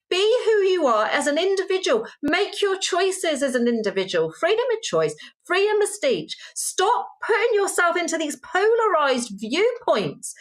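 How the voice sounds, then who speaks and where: A woman's voice: very high voice, a bit bright. A middle-aged woman co-hosting a casual commentary show, making her points with passionate emphasis. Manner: emphatic, impassioned ranting. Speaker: co-host. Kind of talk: casual social media commentary.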